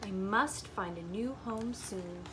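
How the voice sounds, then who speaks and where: A woman voicing a gentle, polite and earnest male hermit crab from a storybook, in an expressive way. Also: medium pitch, takes it slowly, background noise, natural voice. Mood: uneasy, wondering.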